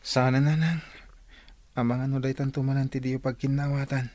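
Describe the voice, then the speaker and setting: A man's voice: mid-range voice, little pitch movement, moderate pace, darkish tone, no room sound, close to an everyday voice. A man with an Ilocano accent playing a patient, long-suffering young son in an audio drama. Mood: resigned. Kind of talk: Filipino audio drama acting.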